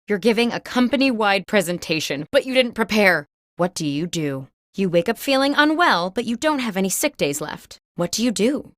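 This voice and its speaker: Animated woman playing an office interviewer game host. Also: mid-range voice, moderate pace, no room sound, clean studio sound, lightly acted. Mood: composed, quizzical. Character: office job interviewer posing workplace questions. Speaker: game host reading prompts. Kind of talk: scripted video game host narration.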